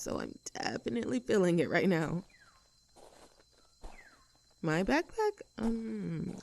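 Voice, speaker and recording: A woman's voice: medium pitch, gravelly, darkish tone. A woman playing a young, outdoorsy tomboy friend in an audio roleplay, chatting casually in an upbeat, caring and reassuring way. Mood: caring, encouraging. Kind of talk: roleplay audio acting, casual intimate chat.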